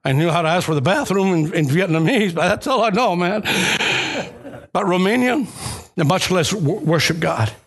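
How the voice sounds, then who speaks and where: Man, high voice, church preacher, personal testimony, conversational storyteller, emphatic repeated phrases. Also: animated, moderate pace, slightly rough.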